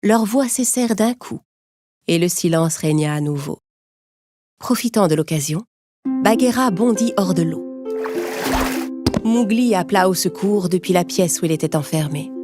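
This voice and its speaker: A woman's voice: on the low side, moderate pace, pauses often. A woman storyteller reading a scripted children's tale in narration style. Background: music.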